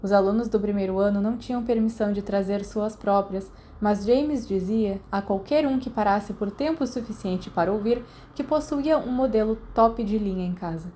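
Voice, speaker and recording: A woman's voice: mid-range voice, moderate pace, hardly any pauses, warm tone, slight background noise. A woman with a Brazilian accent reading scripted audiobook narration. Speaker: podfic narrator.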